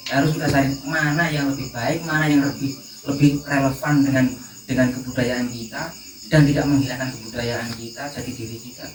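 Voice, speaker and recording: A man in his thirties with a Javanese accent, speaking haltingly as a guest in an informal panel talk. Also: mid-range voice, little pitch movement. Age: 30s. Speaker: discussion guest. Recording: distant, echoey, noisy recording with insects.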